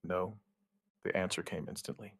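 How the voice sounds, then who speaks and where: An actor playing a shaken outbreak survivor, giving his account in halting, somber, dramatic narration with short deliberate phrases. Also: low voice, breathy edge, no echo, studio quality. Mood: quietly determined. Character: shaken male outbreak survivor recounting events, dryly stubborn. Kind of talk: dramatic first-person scripted narration, witness account.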